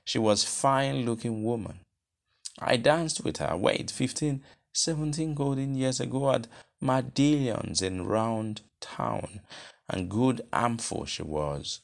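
Man acting pompous middle-aged gentleman, Nigerian accent, emphatic, blunt, raised pitch, audiobook dialogue. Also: slow, gravelly. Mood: reminiscing.

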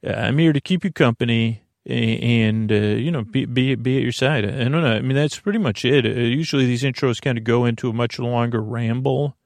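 A middle-aged man with a creaky, gravelly voice hosting a bedtime podcast in a meandering, halting monologue that keeps interrupting itself. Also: mid-range voice, no echo. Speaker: podcast host.

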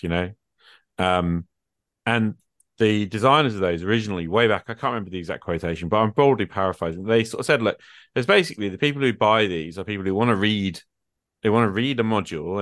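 A man's voice: on the low side, fairly bright tone, no echo, studio quality. A man speaking as a guest in a casual discussion, informal and rambling, often checking in with the listener. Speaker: guest, experienced game player.

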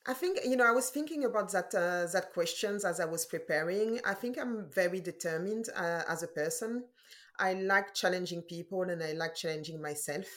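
A woman in her forties speaks with a French accent in a notably low register. She talks as an interview guest, thoughtful and unhurried, leaning on fillers and restarting her sentences. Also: a bit bright. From France. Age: 40s. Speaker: guest entrepreneur. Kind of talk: casual interview conversation.

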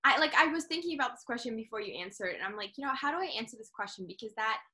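A woman's voice: fairly high pitch, animated, very fast, sharp tone. A young woman born in England and raised in New Zealand, a podcast guest chatting eagerly, laughing often and saying "like" a lot. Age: young adult. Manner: enthusiastic, laughs often, fillers like "like". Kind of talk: casual conversational interview.

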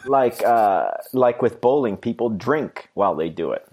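A man's voice: mid-range voice, lively intonation, unhurried, darkish tone. A man from Colombia co-hosting a podcast, chatting with wry, dry humour. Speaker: podcast co-host. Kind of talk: casual podcast chat.

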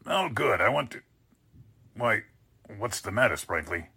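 An actor playing a bewildered, flustered newspaper boss, halting and exclamatory, in 1940s radio drama style. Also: male voice, fairly low pitch, very animated, vocal fry, bright, forceful tone, breathy edge, dry recording, exaggerated voice. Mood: genial.

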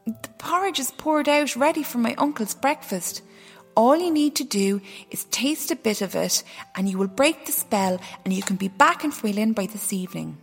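A kindly young boy in a storybook, voiced by a woman, pitched up high. He is gentle and reassuring, with a long reverb around his voice. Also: animated, normal speed, creaky, breathy edge, full character voice. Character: kindly, helpful seven-year-old giant boy. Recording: long hall reverb, music underneath.

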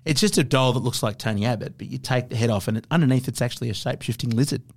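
A man's voice: medium pitch, very fast. A man with an Australian accent co-hosting a podcast, trading casual satirical banter. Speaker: podcast co-host.

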